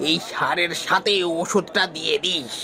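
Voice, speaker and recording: High-voiced, greedy village shopkeeper acted by a man. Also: normal speed, bright, forceful tone. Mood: pushy. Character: pushy, greedy village fertiliser shopkeeper Nagen. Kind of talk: Bengali animated moral tale dialogue.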